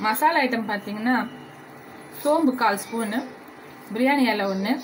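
Woman explaining a home cooking recipe. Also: fairly high pitch. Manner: unhurried step-by-step instructions, addressing viewers directly. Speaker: cooking channel host. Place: home kitchen at the stove. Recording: noisy home recording.

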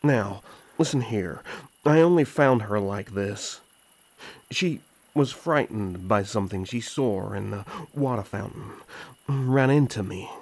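A man acts out a proud elf prince with a lowish voice and a slow, deliberate pace. He is suspicious and demanding, haughty in the way of a fantasy drama royal. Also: darkish tone, no echo. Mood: defensive. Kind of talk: fantasy audio drama roleplay.

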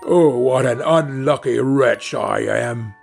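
A man as a slow, brutish storybook carter. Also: medium pitch, creaky, a little mellow, faint music behind. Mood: lamenting. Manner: grumbling, scornful. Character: brutish, scornful, grumbling male carter in a fairy tale.

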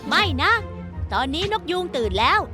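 A woman's voice: sits high, sing-song, moderate pace. A woman voicing a cheerful, kind robot doctor bus in a children's cartoon dub. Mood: eager. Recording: loud music under the voice.

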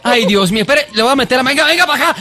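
A man's voice: extremely high pitch, lively intonation, very fast, creaky, heavily acted. A man playing a frantic, stammering ostrich owner who pleads with a vet for help in a radio phone prank. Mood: flustered.

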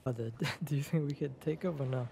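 A man's voice: mid-range voice, gravelly, very noisy. A man streaming a roleplay game, chatting casually and joking with teammates during a shootout. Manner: quick, laughing, joking. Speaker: streamer playing a roleplay character.

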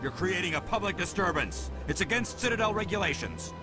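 A man's voice: sits high, lively intonation, a little fast, music in the background. A man playing an officious, exasperated security officer in a video game, with a stern manner.

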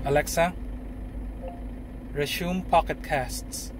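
Brief: man, slightly high voice, slow deliberate commands in a noisy car cabin. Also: fairly even intonation. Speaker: driver giving voice commands. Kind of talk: short spoken commands. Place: inside a car. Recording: car cabin with road noise.

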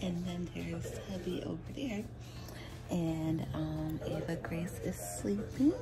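A young woman talking quietly to her phone camera from a couch at home, low-key and rambling with fillers. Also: fairly even intonation, slow pace, music in the background. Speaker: vlogger, stay-at-home mom. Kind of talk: quiet casual chat to camera. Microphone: phone at arm's length.

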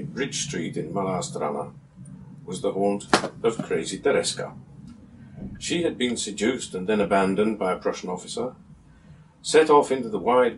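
Older man reading aloud, low voice, distant mic. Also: slight background noise. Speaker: reader of a book passage. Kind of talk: literary reading aloud from a book. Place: small book-lined room at home, indoors. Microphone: camera a few metres away. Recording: distant camera microphone in a room.

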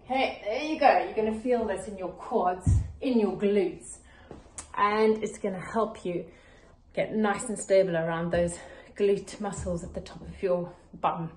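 A woman's voice: mid-range voice, a little slow, darkish tone. A woman fitness coach demonstrating exercises with informal, encouraging short cues. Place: living room at home, indoors. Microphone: camera a few steps away. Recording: echoey living room, distant camera.

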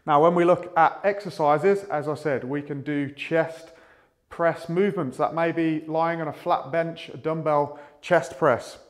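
A man in his thirties with a fairly high voice gives an instructional talk as a fitness coach. He explains step by step in a measured, methodical manner, speaking to camera in a small room. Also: steady tone. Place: small indoor room with a whiteboard.

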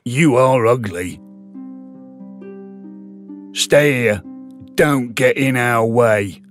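A man voicing a rude, scornful grown duck in an animated fairy tale. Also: medium pitch, very slow, soft background music. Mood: gruff, unwelcoming.

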